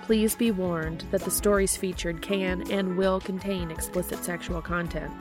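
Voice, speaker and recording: A woman hosting and narrating fan fiction in a scripted, expressive storytelling read. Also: fairly low pitch, normal speed, over music. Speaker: podcast host and reader.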